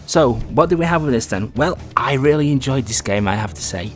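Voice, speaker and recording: A man's voice: mid-range voice, lively intonation, brisk. A man hosting a casual video game review, talking in a conversational, loosely rambling way. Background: music.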